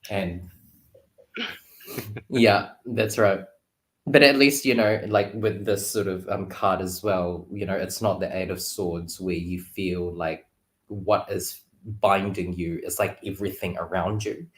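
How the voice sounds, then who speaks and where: A man talking casually and reflectively as a guest tarot reader, expressive and playful, on an online call from a roomy space. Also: low-pitched, unhurried. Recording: roomy, echoey sound.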